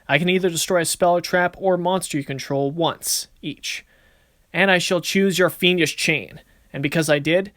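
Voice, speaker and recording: A man's voice: a little high, a bit bright, dry recording, clean studio sound. A man voicing a confident, cocky but good-natured young card duelist in casual, swaggering banter for an amateur anime-style series. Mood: battle-ready, confident.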